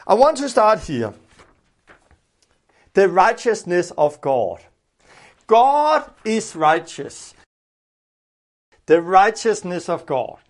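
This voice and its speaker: A man's voice: high-pitched, sing-song, slow, pauses often. A man from Denmark with a Danish accent, a native Danish speaker, preaching in simple explanatory English and repeating phrases for emphasis. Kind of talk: informal teaching sermon with prayer.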